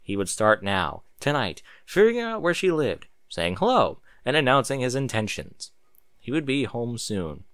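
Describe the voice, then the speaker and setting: A man reading fan fiction aloud as narrator, in an expressive storybook style with a sardonic edge. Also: medium pitch, normal speed, no room sound, studio quality. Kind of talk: dramatic storybook narration with casual sarcastic asides.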